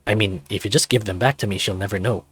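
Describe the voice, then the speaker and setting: A man's voice: lowish voice, steady tone, fast, husky. A man voicing a goofy, laid-back teenage male furry best friend with casual, hesitant slacker phrasing in a visual novel. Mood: sheepish.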